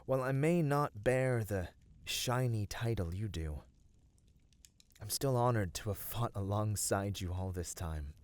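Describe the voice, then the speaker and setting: A man acts out a loyal male battle dancer from a fantasy game world, comforting a dear friend in ASMR roleplay. His voice sits slightly low and moves very slowly. He is earnest and tender, leaving dramatic pauses between phrases. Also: dry recording, close to an everyday voice. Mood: warm reminiscence. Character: gentle, devoted male Viera battle dancer, loyal adventuring companion. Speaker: voice actor.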